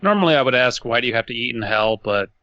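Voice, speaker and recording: A man's voice: mid-range voice, animated, quick pace, sharp tone, no echo, dull audio. A man co-hosting a podcast, narrating a story aloud with casual asides. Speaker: podcast co-host.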